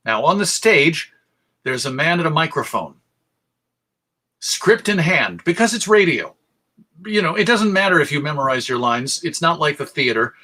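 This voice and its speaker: A man's voice: medium pitch, pushed and bright. A man giving a history talk as presenter, telling it like a story in an emphatic, conversational way. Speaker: presenter, radio show host. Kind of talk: illustrated history talk to an audience.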